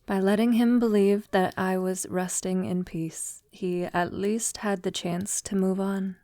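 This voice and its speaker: A woman playing a centuries-old vampire lover in an intimate audio roleplay, tender, wistful and confiding, softly spoken with lapses into whispering. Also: mid-range voice, fairly even intonation, no room sound, clean studio sound, close to an everyday voice. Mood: sorrowful. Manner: soft, unhurried, breathy lapses into whispering.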